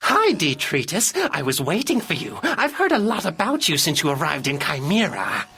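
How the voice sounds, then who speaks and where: Theatrical, swooping robot gambler. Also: female voice, sits low, big pitch swings, moderate pace, fairly bright tone, a little hiss or hum, exaggerated voice. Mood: welcoming, boastful. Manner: dramatic, declaiming. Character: theatrical, brooding robot gambler with grand airs. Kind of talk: scripted video game cutscene acting.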